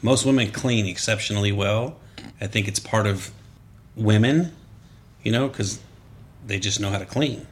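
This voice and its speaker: A man, a military veteran, talking in casual chat with a stern, deep voice whose tone stays the same even when he is happy. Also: moderate pace, pauses often. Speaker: Marine veteran guest.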